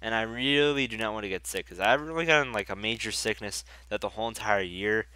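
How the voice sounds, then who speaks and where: A man's voice: lowish voice, fast talker, bright, forceful tone, clear, resonant tone, no echo. A young man with a stuffy, congested voice, casually rambling and swearing as he comments on his game. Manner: rambling, profane, chatty. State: congested from a cold. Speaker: gaming YouTuber. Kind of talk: casual commentary over gameplay.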